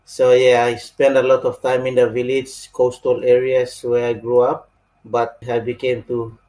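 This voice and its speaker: A man from Papua New Guinea talks in a steady, even tone with little rise and fall. He speaks modestly and a bit haltingly, as a podcast guest describing his work as a ranger. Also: medium pitch, low-quality recording. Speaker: head community conservation ranger. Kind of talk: casual podcast interview.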